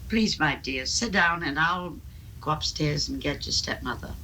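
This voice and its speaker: A woman's voice: sits low, normal speed, pushed and bright, slight background noise, poor audio. A woman playing a kindly, solicitous Victorian neighbour in a 1970s radio drama, with a sympathetic, theatrical manner. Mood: sympathetic, soothing.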